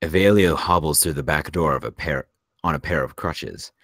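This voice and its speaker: Very deep-voiced male script narrator. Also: moderate pace, fairly bright tone, dry recording, studio quality. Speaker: narrator reading stage directions. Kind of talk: scripted narration at a screenplay table read.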